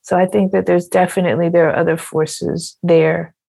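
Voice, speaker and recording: A woman artist telling her story in an interview, slow and reflective, with small fillers and repeats. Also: lowish voice, level tone, vocal fry, mellow, slightly rough. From partly Ghanaian heritage. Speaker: quilt artist guest.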